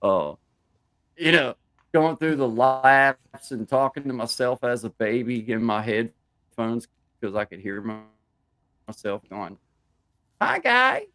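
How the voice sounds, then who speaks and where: A man co-hosting a live morning stream in a friendly, rambling way, thanking the viewers. His voice sits mid-pitch and is recorded dry and close, with no room sound. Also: takes it slowly, pauses often, a bit bright, no room sound. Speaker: co-host of a live morning show. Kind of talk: casual live-stream chat.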